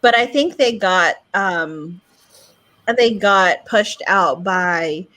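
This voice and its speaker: A woman's voice: fairly low pitch, drawn-out words, pushed and bright. A woman co-hosting a livestream, chatting casually in a hesitant, reflective way. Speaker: co-host of a group livestream. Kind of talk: casual group chat.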